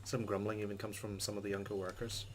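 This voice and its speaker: A man's voice: fairly low pitch, level tone, fast talker, a little hiss or hum. A man hosting a let's play, reading game text aloud and adding casual commentary.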